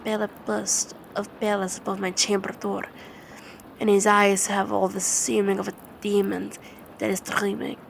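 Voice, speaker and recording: A woman doing ASMR sleep-aid storytelling and poetry reading, halting and repeating words as she goes. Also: mid-range voice, level tone, creaky, slight background noise, rough recording. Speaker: ASMR roleplay performer.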